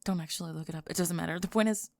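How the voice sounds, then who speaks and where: A woman's voice: lowish voice, darkish tone, a little hiss or hum, lightly acted. A young woman acting out a prickly, flustered goth girl student with a secret crush in an ASMR roleplay, sarcastic and defensive. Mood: flustered, rambling. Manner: sarcastic, defensive, halting and stammering when flustered. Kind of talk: ASMR roleplay acting, one-sided dialogue.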